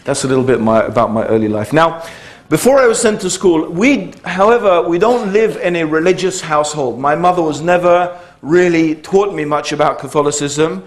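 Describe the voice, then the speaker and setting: A man's voice: on the high side, lively intonation, normal speed, few pauses. A man in his fifties, telling the story of his upbringing in a loose, conversational public talk, with frequent fillers and restarts. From United Kingdom.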